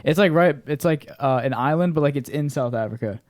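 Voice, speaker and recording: Host: young man, mellow tone, dry sound, teasing banter. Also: on the high side, a little fast, no room sound. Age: young adult. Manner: teasing, joking questions. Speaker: podcast host. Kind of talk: casual podcast chat.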